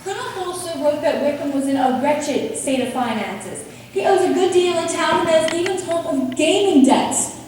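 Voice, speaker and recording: A woman acting a gentle, worried elder sister in a stage play, with a high voice and formal phrasing. She is distant, in a very echoey hall. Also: lively intonation, moderate pace, a little hiss or hum. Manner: formal period speech.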